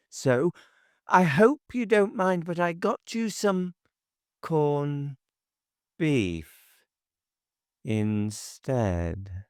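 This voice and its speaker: A man voicing a nosy, bustling older English housekeeper in a high, reedy, loud voice for an audiobook. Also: dry recording, clean studio sound, heavily acted. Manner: shrill, gossipy, indignant chatter. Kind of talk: audiobook character voice.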